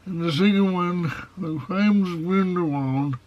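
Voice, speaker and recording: A man with a nasal, foghorn-like voice reviewing a movie in a casual video. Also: sits high. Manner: slow, measured delivery with plain opinions. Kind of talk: casual film review to camera. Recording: dull, lo-fi sound.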